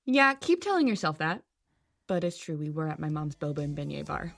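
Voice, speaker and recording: A woman's voice: deep voice, sing-song, brisk, creaky, heavily acted. A woman playing a grudging, exasperated young daughter and mechanic in an audio drama, with a sarcastic, grumbling manner. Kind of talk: audio drama acting and narration. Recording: music under the voice.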